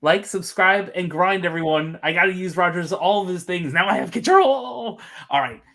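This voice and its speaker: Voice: medium pitch, moderate pace, a bit bright. A podcast host with a joking, teasing way of talking, bantering casually about sports.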